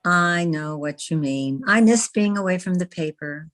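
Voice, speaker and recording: A woman playing a poised, smitten newspaperwoman in an old-time radio romance, measured, tender and ladylike. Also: on the low side, fairly bright tone. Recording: video-call radio play recording.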